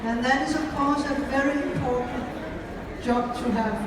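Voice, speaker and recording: A middle-aged woman from Denmark, a ship captain giving a formal welcome speech and introducing her crew, with measured phrasing and filler pauses. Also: sits high, fairly even intonation. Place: large ship atrium with crowd. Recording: echoey PA in a hall from far off, crowd noise.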